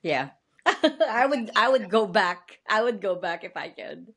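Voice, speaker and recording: A woman's voice: medium pitch, sing-song, a little slow, a bit bright. A woman podcast host with a Filipino accent, speaking upbeat and laughing often on a video call. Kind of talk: podcast interview.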